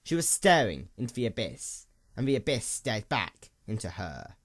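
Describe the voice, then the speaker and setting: A man narrating a dramatic story in a solemn, deliberate and expressive manner. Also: lowish voice. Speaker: audiobook narrator of fan fiction.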